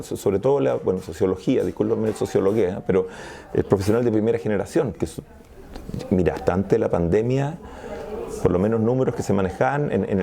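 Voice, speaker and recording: A Chilean man with a low, gravelly voice and a mellow, dark tone. He talks through ideas in a discursive, analytical way, often breaking off and restarting mid-sentence. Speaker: sociologist guest. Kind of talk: interview conversation.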